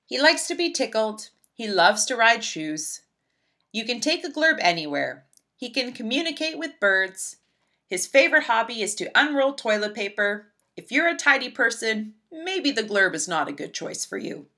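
A woman reading a children's picture book aloud in an expressive, emphatic storybook style. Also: on the high side, moderate pace. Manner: animated, emphatic, storybook delivery.

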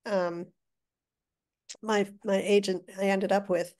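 An older woman, a guest author, telling stories in a chatty, animated way with restarts, heard over a video call. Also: medium pitch, slow. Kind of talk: casual interview chat. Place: living room with brick wall. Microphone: video call webcam.

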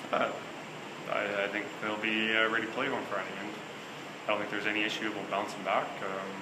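A man in his thirties, a hockey head coach answering postgame questions in a measured, hesitant way with many fillers and restarts. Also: on the low side, little pitch movement. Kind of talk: postgame press conference. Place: small interview room before a sponsor backdrop. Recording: echoey room with loud air-conditioning hum.

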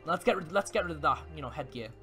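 Bright, animated young man playing cards. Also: fairly high pitch, fast talker, soft background music. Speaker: player in a card-game roleplay. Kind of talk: casual gameplay roleplay chat.

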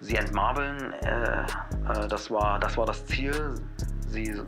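A man confessing in a podcast interview, halting and stammering as he speaks. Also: lowish voice, loud music behind the voice.

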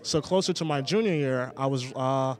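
Earnest young man, halting and sincere. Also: mid-range voice. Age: young adult. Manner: earnest, halting, many restarts and fillers. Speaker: former student leader, guest. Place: outdoors, city setting.

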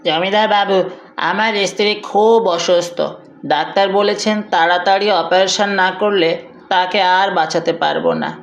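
A man's voice: high voice, fairly even intonation, moderate pace, vocal fry, fairly bright tone. A man voicing a humble, desperate poor sharecropper in a cartoon, deferential and pleading. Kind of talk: cartoon story acting.

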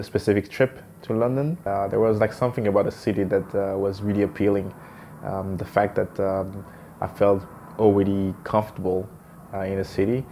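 A man of thirty-one from Brussels, speaking haltingly as a documentary interviewee. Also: lowish voice, mellow, a little hiss or hum. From Brussels, Belgium. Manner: hesitant, halting. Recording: music playing behind the voice. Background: background music.

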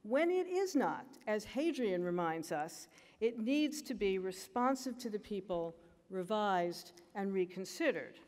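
Older American woman, mid-pitched voice, measured lecturing speech, lectern mic in a big echoing auditorium. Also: animated, a little mellow. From United States. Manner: measured, emphatic lecturing delivery. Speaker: commencement speaker. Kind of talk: formal graduation speech. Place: large auditorium stage at a lectern. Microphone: lectern microphone.

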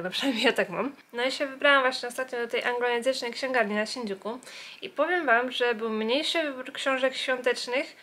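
Female vlogger, higher-pitched voice, chatty and informal, talks straight to the viewer. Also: a bit bright. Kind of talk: casual vlog chat.

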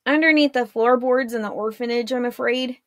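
A woman voicing a teenage boy warlock in an audiobook, speaking calmly and matter-of-factly. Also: a little high, moderate pace, dry recording, studio quality, close to an everyday voice. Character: teenage boy warlock Mavik, calm and wry.

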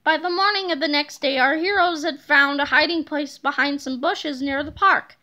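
A woman's voice: sits high, normal speed, sharp tone. A woman reading a children's storybook aloud, recorded at home on a handheld device. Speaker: storybook reader. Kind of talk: children's book read-aloud.

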